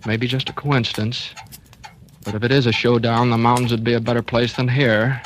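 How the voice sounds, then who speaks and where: An actor in a 1940s radio drama, narrating and playing a doctor in a tense, confiding manner. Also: male voice, mid-range voice, little pitch movement, brisk, a little hiss or hum, lightly acted. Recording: old radio broadcast with music beneath.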